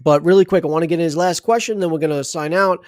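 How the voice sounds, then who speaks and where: A man's voice: fairly high pitch, fast talker, no room sound, studio quality. A man hosting a casual sports livestream, talking in a rambling, chatty way with many restarts.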